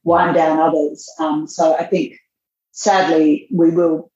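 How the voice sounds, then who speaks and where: A woman, a magazine editor answering interview questions in a measured way, thinking aloud as she goes. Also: deep voice, flat delivery, a little mellow, a little hiss or hum. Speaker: fashion magazine editor, guest. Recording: roomy, dull lo-fi sound.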